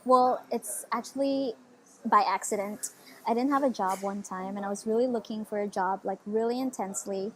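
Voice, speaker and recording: A woman's voice: medium pitch, moderate pace, a bit bright, a little hiss or hum, poor audio. A woman in her twenties from the Philippines, telling her story eagerly in a casual interview, with lots of "like" and "you know". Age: 20s. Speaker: interview guest.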